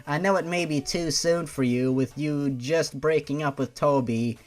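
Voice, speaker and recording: A man's voice: a little high, normal speed, darkish tone, soft background music, close to an everyday voice. A man voicing a sweet, nervous young male suitor from a story, speaking in a bashful, hesitant way. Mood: bashful, hopeful. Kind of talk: mock-dramatic storybook reading.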